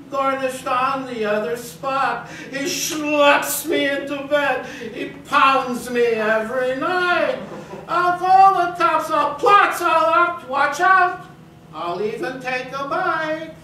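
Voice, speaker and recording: A woman's voice: high-pitched, slow, pushed and bright, full character voice. A woman playing an exasperated, comic immigrant wife on stage, with a Yiddish accent and sing-song kvetching. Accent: Yiddish, put on. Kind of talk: broad comic stage acting. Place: small theater, live staged reading. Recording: echoey theater, distant mic, noisy.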